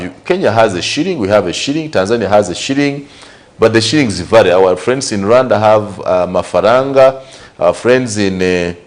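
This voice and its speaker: A man from Uganda with a Ugandan English accent, giving conversational commentary on current affairs and asking rhetorical questions. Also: on the low side. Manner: conversational, repeats and lists points, rhetorical questions. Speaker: news show host.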